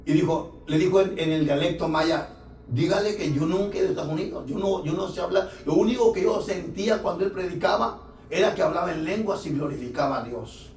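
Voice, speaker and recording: A man, a pastor, preaching a fervent sermon that rises to shouts, recorded in a church from off the mic. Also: a little high, far from the mic. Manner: fervent preaching, rising to shouts, filler "hermano". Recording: echoey church room, voice from off-mic.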